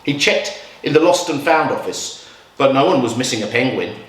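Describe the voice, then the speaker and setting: A man reading a picture book aloud to children in a measured, expressive storytelling style with dramatic pauses. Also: medium pitch. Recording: roomy echo, distant from mic.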